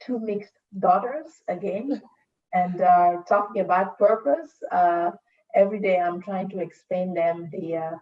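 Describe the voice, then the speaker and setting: A woman from Cameroon with a French-speaking Cameroonian accent, introducing herself on a panel in a halting, reflective way. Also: fairly low pitch. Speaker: panelist working on diversity and inclusion. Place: online video call. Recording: distant, dull lo-fi call audio.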